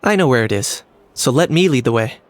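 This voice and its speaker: A man's voice: medium pitch, animated, a little fast, a little hiss or hum, close to an everyday voice. Man playing a composed, wry young adventurer. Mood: calm, casual. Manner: composed, understated. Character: calm, polite, dryly wry young male adventurer. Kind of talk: video game voice acting.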